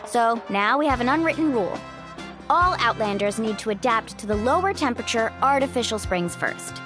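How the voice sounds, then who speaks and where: A woman's voice: on the high side, expressive, moderate pace, music in the background, close to an everyday voice. An actress playing a sunny, easygoing young woman, a breezy and friendly guide in a video game. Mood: explaining, pleading. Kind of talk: video game character acting.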